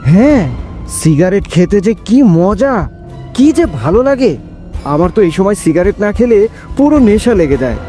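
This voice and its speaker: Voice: medium pitch, very animated, measured pace, dark tone. A performer voicing a pushy young boy who secretly smokes and coaxes his friend in a cartoon. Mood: coaxing, boastful. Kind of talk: cartoon voice acting. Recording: music behind the voice. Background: background music.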